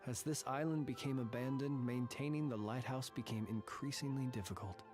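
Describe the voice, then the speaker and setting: An actor playing a solemn, formal and courteous guardian of haunted tombs in a video game. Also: male voice, medium pitch, music in the background, natural voice. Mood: solemn, measured. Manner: formal, measured, ornate courteous speech. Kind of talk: video game character dialogue.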